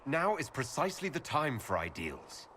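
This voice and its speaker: A man's voice: mid-range voice, big pitch swings, normal speed, sharp tone, slight background noise. An actor playing an earnest, idealistic male hero in a fantasy video game, speaking directly. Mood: alarmed, firm.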